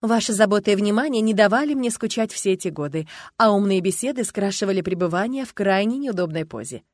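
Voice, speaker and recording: A woman's voice: high voice, very animated, a little fast, dry recording, studio quality, voice pushed up. A woman voicing a sly, pouty young male gargoyle in an audiobook, haughty, whiny and theatrical. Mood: honeyed.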